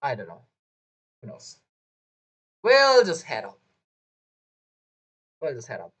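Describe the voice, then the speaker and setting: Rambling game streamer, lively pitch. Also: slow pace, background noise. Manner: rambling, thinking aloud. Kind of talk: casual live gameplay commentary.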